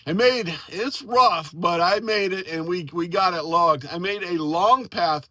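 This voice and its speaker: A man's voice: high voice, a little slow, sharp tone, no echo. An American man chatting casually and enthusiastically as an amateur radio operator and video host. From United States. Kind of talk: casual storytelling and on-air radio chat.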